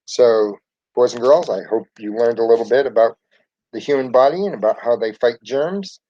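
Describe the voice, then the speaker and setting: A man's voice: mid-range voice, normal speed, mellow. Man reading a children's story, muffled recording. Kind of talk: expressive children's picture book narration. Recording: dull lo-fi home recording.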